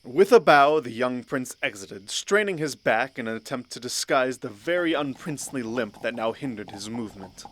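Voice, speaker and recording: A man's voice: mid-range voice, animated, normal speed, hardly any pauses, a bit bright, rough recording. A man narrating a scripted fantasy story. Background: faint background music.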